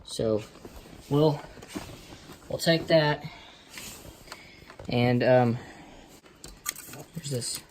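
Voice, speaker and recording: A plainspoken, folksy man giving a casual hands-on tutorial, talking hesitantly in short phrases with pauses. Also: medium pitch. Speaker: how-to video presenter. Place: inside a parked car. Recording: noisy amateur recording with clicks.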